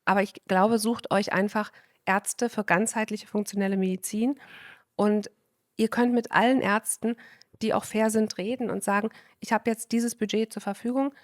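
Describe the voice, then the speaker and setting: A woman's voice: mid-range voice, steady tone, moderate pace, no echo, clean studio sound. Emphatic woman doctor explaining at length. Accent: German. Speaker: physician guest. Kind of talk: casual podcast conversation.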